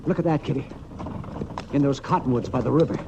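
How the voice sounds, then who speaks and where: An actor playing a steady, protective frontier doctor in an old radio Western, talking in a deliberate, sometimes muttering way. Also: male voice, medium pitch, creaky, lo-fi sound, lightly acted. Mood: uneasy, reassuring. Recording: noisy old-time radio recording.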